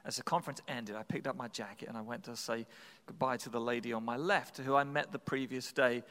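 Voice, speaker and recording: A man's voice: medium pitch, fairly even intonation, a little fast, a bit bright, big-room sound. A man preaching, telling a personal story in a conversational, self-deprecating way with frequent restarts. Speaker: pastor. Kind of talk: sermon storytelling.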